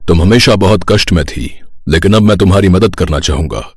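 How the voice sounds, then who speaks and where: Man acting an earnest, husky, low-voiced helper. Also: animated, fairly quick, no room sound, clean studio sound. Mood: kindly advising. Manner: earnest, reassuring. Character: earnest kindly male helper from a folk tale. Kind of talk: acted dialogue in an audio story.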